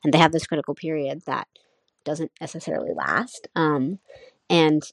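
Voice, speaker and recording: Young adult woman, native Nepali speaker, low voice, musing student reflection, dry close recording. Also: animated, moderate pace, gravelly, no echo. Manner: thoughtful, searching, full of "um" and "like". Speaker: college student. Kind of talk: casual spoken class reflection.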